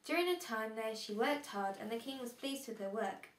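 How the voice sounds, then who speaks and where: A woman's voice: a little high, expressive, moderate pace, lightly acted. A woman playing a friendly older relative who reads bedtime stories in an amateur drama. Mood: storytelling. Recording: roomy echo, faint background noise.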